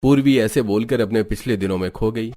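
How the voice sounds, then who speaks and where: Low-voiced man narrating a story. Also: brisk, dark tone.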